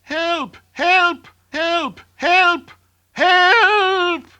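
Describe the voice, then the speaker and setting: Storybook baby bird, played by a man: small, excitable, alarmed, very high and piercing. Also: moderate pace, no room sound. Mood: panicked. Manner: shrieking, alarmed cries.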